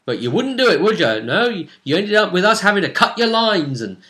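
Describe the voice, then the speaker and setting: A man with a British accent and a bright, forceful voice, talking casually as a game master. He retells a tabletop session in an animated way, slipping into quoted character lines. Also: high voice, a little fast. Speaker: tabletop role-play game master and co-host.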